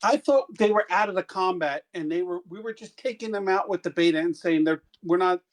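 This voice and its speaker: A man's voice: high-pitched, fairly quick. A man playing a tabletop game, talking casually and restarting his sentences often. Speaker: player.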